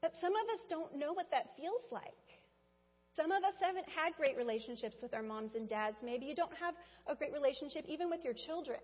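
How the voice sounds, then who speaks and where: A woman preaching a Mother's Day sermon in a church, talking conversationally and speaking straight to the congregation. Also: sits high. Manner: conversational, addresses congregation directly. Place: church sanctuary. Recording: dull sound with long hall reverb.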